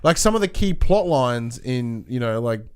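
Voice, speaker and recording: Clear-voiced Australian man, rambling casual chat. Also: medium pitch, animated, a little mellow. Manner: rambling, halting, frequent "like". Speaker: podcast co-host.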